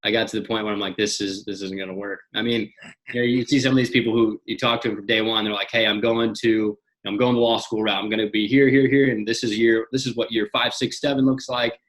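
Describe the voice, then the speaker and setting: A young man in his twenties, a podcast guest talking in an energetic casual way, often repeating and restarting words. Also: fairly low pitch, quick pace, low-quality recording. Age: young adult. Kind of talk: casual interview chat.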